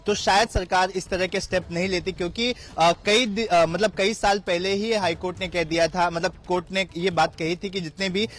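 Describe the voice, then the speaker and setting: A man giving an unscripted live news report, halting often with fillers and repeating his phrases. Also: high-pitched, steady tone, a bit bright.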